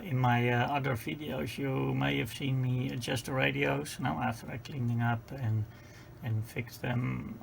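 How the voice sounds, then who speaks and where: A man giving an informal hands-on technical tutorial, speaking haltingly as he searches for words. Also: mid-range voice, vocal fry, slight background noise, low-quality recording. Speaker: presenter of a radio repair tutorial.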